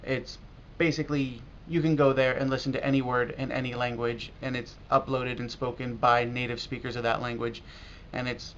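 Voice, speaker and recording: An American man talking casually and reflectively in a video blog as a language learner, halting now and then with pauses. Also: mid-range voice, level tone, a bit bright. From United States. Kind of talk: casual talk to camera about learning. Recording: dull lo-fi audio with clear noise.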